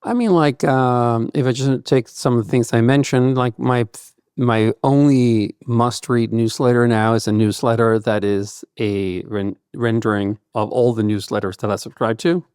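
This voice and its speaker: A man's voice: mid-range voice, fairly even intonation, normal speed, a little mellow, clean tone, no echo, studio quality. A man whose first language is Danish, speaking loosely and thoughtfully as a guest in a podcast interview, with lots of "kind of" and "like". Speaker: guest entrepreneur.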